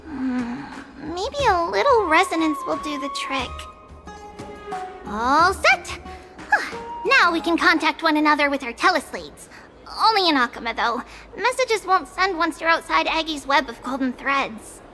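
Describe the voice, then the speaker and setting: A woman's voice: high voice, lively intonation, a little slow, bright, forceful tone, soft background music, heavily acted. An actress playing a cheerful, playful young city guide in a video game, perky and teasing as she explains things. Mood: helpful, bright. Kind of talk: video game character acting.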